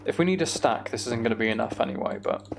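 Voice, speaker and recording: A man's voice: mid-range voice, wildly swooping pitch, quick pace, gravelly, background noise. A British man giving casual video game commentary, thinking aloud as he plays. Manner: thinking aloud, mild swearing. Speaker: gamer narrating own play.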